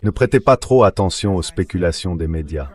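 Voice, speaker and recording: A man's voice: deep voice, lively intonation, brisk. A man's voice reading the translated statement of a government spokesman as a voice-over.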